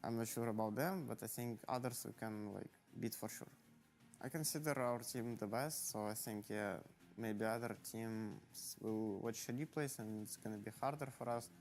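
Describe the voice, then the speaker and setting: Hesitant esports player, music behind. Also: male voice, lowish voice, moderate pace, vocal fry, a little mellow. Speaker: pro player interviewed. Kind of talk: post-match player interview.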